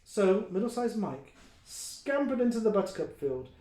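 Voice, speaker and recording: A man tells a children's story with playful expression. His voice sits high and swings widely, with slow, deliberate phrasing, and the room adds noticeable echo. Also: very animated, warm tone. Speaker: early years teacher reading a story. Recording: roomy, echoing indoor sound.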